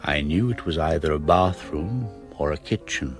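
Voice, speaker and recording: A man's voice: extremely low pitch, animated, moderate pace. An actor playing a cold, arrogant English surgeon who narrates his murder confession in a precise, clinical, self-satisfied manner for a radio drama. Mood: smug, detached. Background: music.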